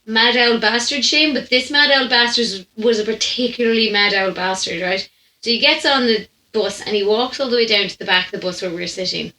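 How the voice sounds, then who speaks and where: A young woman with an Irish accent hosts a storytelling podcast. Her voice is fairly high and sharp-edged, and she talks in an animated, chatty style. The room adds a noticeable echo around her. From Ireland. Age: young adult. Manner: animated, chatty, laughs while telling. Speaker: podcast host. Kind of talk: casual comic anecdote storytelling. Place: indoor room at home. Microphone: condenser microphone on stand, close. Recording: roomy echo.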